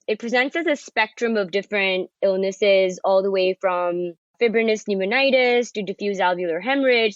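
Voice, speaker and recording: A woman in her thirties from Pakistan, a cardiology fellow, explaining a medical condition in a measured and informative way. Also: medium pitch, dry recording, highs cut off. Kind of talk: podcast conversation, explaining a condition.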